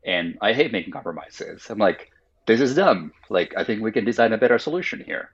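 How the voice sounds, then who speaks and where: A man's voice: on the low side, animated, fairly quick, slightly rough, dull audio. A man whose first language is Finnish, a guest in a casual interview, explaining his ideas thoughtfully and at length with lots of "like"s. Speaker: guest, company founder.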